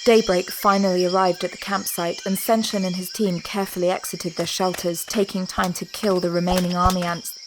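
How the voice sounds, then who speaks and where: A woman narrating a scripted audio drama in a measured storytelling manner. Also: on the low side, flat delivery, noisy background.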